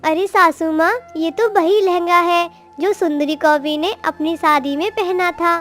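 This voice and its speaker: A woman's voice: very high-pitched, steady tone, a little slow, a bit bright, faint music behind. A woman voicing a sweet, devoted young daughter bird in a moral-story cartoon, with a tender, earnest manner. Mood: surprised.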